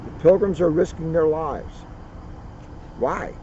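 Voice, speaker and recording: Male read-aloud storyteller, mellow tone, dramatic deliberate phrasing, outdoor recording with faint vehicle noise. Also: fairly high pitch, highs cut off. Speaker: police officer reading a children's book. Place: outdoors at a turkey farm.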